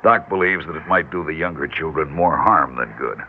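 A gruff small-town official in a vintage radio drama, cold and patronising. His voice is extremely deep and gravelly. The recording is tinny, with heavy noise. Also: a little fast. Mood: cold, blunt. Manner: blunt, patronising. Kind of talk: old-time radio drama acting.

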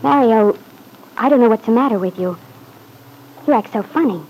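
An actress playing a sweet, anxious young wife, eager and placating, in an old-time radio soap opera. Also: female voice, on the high side, moderate pace, darkish tone, a little hiss or hum, lightly acted, low-quality recording. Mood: bewildered, hurt.

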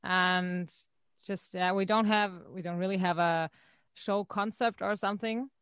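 A woman's voice: mid-range voice, fairly even intonation, a little slow, fairly bright tone, smooth, no echo. A woman singer answering interview questions, hesitant and searching for words. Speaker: band singer.

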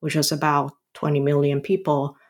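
A middle-aged woman from Hong Kong, a historian being interviewed about her book, answering haltingly with many ums and restarts. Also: sits low, little pitch movement. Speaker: guest author, historian.

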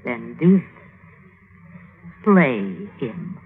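Casting: older scheming matriarch, slow and imploring, swooping delivery, vintage radio drama on thin, noisy audio. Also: female voice, very deep voice, very animated, full character voice. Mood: coldly tempting. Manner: theatrical, imploring, deliberate. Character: scheming, aggrieved noble mother urging murder. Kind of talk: 1950s radio drama acting. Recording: muffled, noisy old radio recording.

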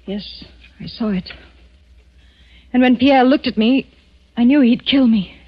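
An actress playing a frightened wife of a killer in 1940s radio drama, pleading breathlessly and dramatically. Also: female voice, on the high side, unhurried, breathy edge, dry recording. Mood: anxious, confiding. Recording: muffled 1940s radio broadcast, music behind.

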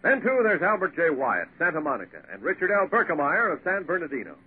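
A man's voice: a little high, big pitch swings, quick pace. A man working as a radio announcer, reading scripted copy in 1940s broadcast style. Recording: tinny old radio broadcast, faint hiss.

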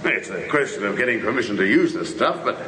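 An actor playing a boorish, self-important, excitable film maker in a radio drama, prattling and brashly dismissive. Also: male voice, fairly low pitch, quick pace. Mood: enthusiastic. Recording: hissy old radio drama recording.

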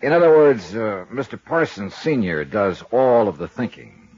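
A muffled vintage radio recording of an unflappable male private investigator. The husky American voice swings widely in pitch, with a brisk, wry, probing delivery made for detective drama. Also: big pitch swings, a little mellow. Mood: dry, pressing. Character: shrewd, unflappable male freelance insurance investigator. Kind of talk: 1950s radio detective drama acting and first-person narration.

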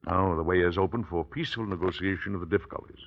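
An actor playing a dignified, genial middle-aged American president in an old-time radio drama, speaking in a measured, formal way. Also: male voice, low-pitched. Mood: gracious, measured. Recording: dull vintage radio recording.

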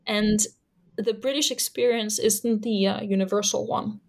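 A woman's voice: medium pitch, fairly even intonation, a little slow, a little mellow. A Danish woman, a native Danish speaker, talking as an author about her research in an interview, thoughtful and full of fillers and restarts. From Denmark. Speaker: author and historian guest. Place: Canada.

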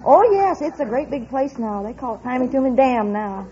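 Folksy American woman over loud audience noise. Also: sits high, expressive, moderate pace, a crowd in the background. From Pennsylvania, United States. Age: middle-aged. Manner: plain-spoken, folksy. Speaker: quiz show contestant. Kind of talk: unscripted chat with a host. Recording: muffled old radio recording, loud audience noise. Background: loud studio audience.

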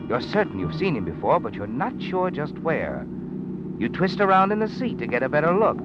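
A man's voice: mid-range voice, close to an everyday voice. A man playing the suspenseful narrator of a 1940s radio thriller, telling the story dramatically in scripted style. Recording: dull old radio recording. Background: loud dramatic music.

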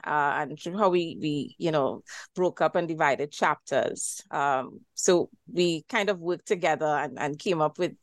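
Trinidadian woman academic, low voice, dry close sound, thoughtful guest. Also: no room sound. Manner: thoughtful, many fillers and restarts. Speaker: historian and co-editor guest. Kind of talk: academic interview.

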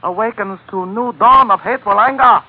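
An actor playing a comic, flattering Chinese sidekick who talks in ornate, stilted broken English on an old-time radio show. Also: male voice, high voice, a little fast. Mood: solemnly flowery. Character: comic, flowery, flattering Chinese sidekick nicknamed Laughing Boy. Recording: tinny vintage radio, faint noise.

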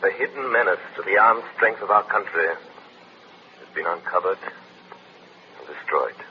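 An actor playing an invisible avenger in an old radio drama, authoritative, formal and ominous. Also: male voice, low-pitched, unhurried. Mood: solemn. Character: invisible male avenger, commanding, ominous, courteous. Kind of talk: 1940s radio acting. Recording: muffled, faintly noisy old radio recording.

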